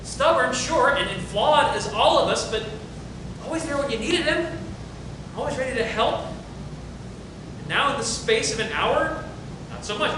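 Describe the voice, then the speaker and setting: A man playing a grieving, loyal friend who delivers a grave, halting, poetic monologue in a stage tragedy reading. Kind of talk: stage play table reading. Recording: very echoey room from far off, rumbling noise.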